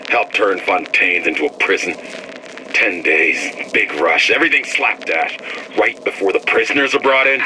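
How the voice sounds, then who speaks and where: An actor playing a bitter, desperate male workman who talks in gruff, cursing bursts on a game audio diary. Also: deep voice, moderate pace, sharp tone. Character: bitter, desperate stranded workman, crude and angry. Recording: noisy vintage audio-diary recording.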